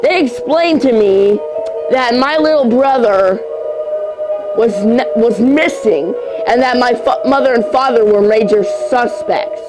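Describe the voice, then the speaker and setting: Slow, stumbling amateur horror story narrator. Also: medium pitch, poor audio. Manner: halting, stumbles over words and repeats phrases. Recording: music under the voice. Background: music.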